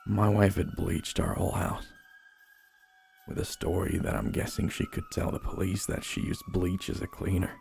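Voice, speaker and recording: A man's voice: on the low side, wildly swooping pitch, gravelly, slight background noise. A man narrating a first-person horror story in a somber, dramatic manner. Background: slow dark music.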